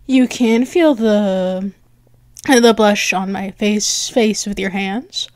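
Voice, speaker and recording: A woman performing an intimate ASMR roleplay, bashful, halting and softly affectionate, close to the microphone. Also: mid-range voice, no room sound.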